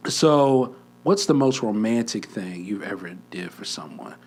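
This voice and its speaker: A man's voice: fairly low pitch, animated, a little slow, darkish tone. A young man co-hosting a podcast, telling a story in a casual chat, often dropping to a near whisper and laughing. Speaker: podcast co-host.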